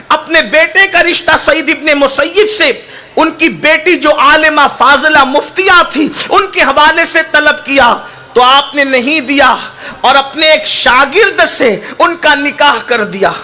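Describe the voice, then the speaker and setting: A man preaching an Islamic sermon in an exhortatory, oratorical way, impassioned and building on repeated phrases. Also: extremely high pitch. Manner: exhortatory, oratorical, with rhetorical repetition; impassioned, often rising to shouts.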